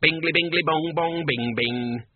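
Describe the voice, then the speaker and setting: A tiny, squeaky watch demon grumbles loudly in broken grammar, as a man voices it. The voice sits high and pushes forward. The sound is thin and phone-like, dry. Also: a little slow, slightly rough, dry recording. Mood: grumpy. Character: small squeaky demon living in a pocket watch.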